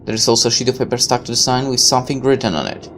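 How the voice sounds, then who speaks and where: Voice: mid-range voice, rapid-fire, fairly bright tone, slight background noise. A person reading a visual novel aloud with casual asides, now and then stumbling over a line and correcting it.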